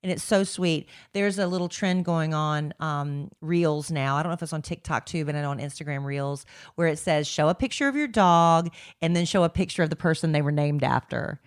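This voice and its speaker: Chatty woman with a low voice. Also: brisk, few pauses, clean tone. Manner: chatty, rambling storyteller. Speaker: podcast co-host. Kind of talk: casual chat.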